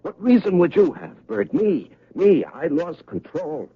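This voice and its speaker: An actor playing a tormented, guilt-ridden police officer in a 1970s radio drama, husky, halting and pleading. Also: male voice, a little high, very animated, heavily acted. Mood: agitated. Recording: dull vintage radio broadcast recording.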